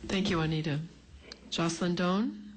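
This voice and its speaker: Woman committee chair, very low voice, brisk and polite. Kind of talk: chairing a hearing. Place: legislative hearing room.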